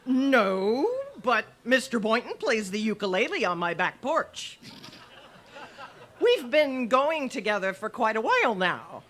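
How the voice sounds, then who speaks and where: An actress playing a wry, wisecracking but easily flustered schoolteacher in an old-time radio comedy. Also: female voice, a little high, sing-song, unhurried, fairly bright tone. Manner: dry, quipping, stammers when flustered.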